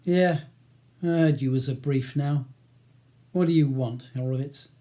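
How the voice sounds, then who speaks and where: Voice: mid-range voice, animated, measured pace, mellow, lightly acted. A reader voicing a belligerent working-class London gangster with a volatile temper, spitting threats and swearing.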